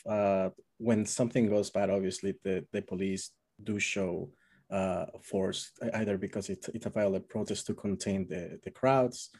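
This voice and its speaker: A man's voice: fairly low pitch, dark tone. A man hosting an interview, asking long, rambling questions full of fillers. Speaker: host. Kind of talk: conversational interview.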